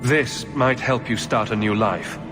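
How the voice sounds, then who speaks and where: Man playing calm adventurer hero, middle register, direct, fantasy game drama, light score. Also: mid-range voice, measured pace, over music, natural voice. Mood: composed. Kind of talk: video game voice acting.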